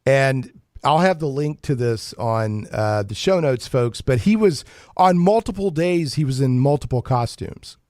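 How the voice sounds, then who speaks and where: A man hosting a casual geek-news talk show, chatting in a jokey, animated way with playful emphasis. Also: mid-range voice, unhurried, no room sound, studio quality. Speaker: radio talk show host.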